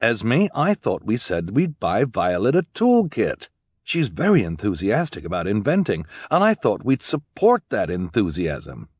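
A man voicing a mild, well-meaning guardian in an audiobook, earnest and gently protesting. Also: on the low side, huge pitch swings, measured pace, breathy edge, dry recording, heavily acted. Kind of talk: audiobook character voice.

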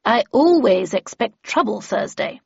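A woman voicing a brisk, imperious, battle-hardened older female mentor in an audiobook, with clipped, commanding, matter-of-fact delivery. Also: fairly high pitch, lively intonation, creaky, husky, no room sound, lo-fi sound, exaggerated voice. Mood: emphatic, proud. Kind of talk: audiobook character voice.

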